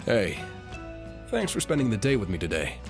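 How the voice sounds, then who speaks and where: A man's voice: fairly low pitch, big pitch swings, moderate pace, over music. A man who performs audio roleplays, addressing the listener directly in a channel outro.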